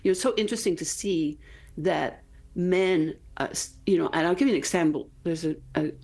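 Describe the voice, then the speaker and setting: Low-voiced Danish woman author. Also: brisk, darkish tone, breathy edge. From Denmark. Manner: earnest, emphatic, frequent "right?" tags. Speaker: author guest. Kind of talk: TV interview.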